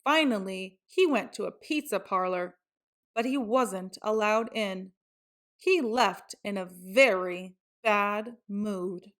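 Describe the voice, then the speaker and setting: A woman reading a picture book aloud to children in an expressive storytelling style. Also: mid-range voice, takes it slowly. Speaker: storybook reader.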